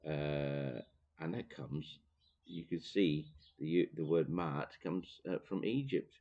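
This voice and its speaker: Dutch-accented man, very low voice, halting reader-commentator on a muffled recording. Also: warm tone. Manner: thoughtful, halting, many fillers and restarts. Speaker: teacher reading and commenting on a theology book. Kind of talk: reading aloud with commentary.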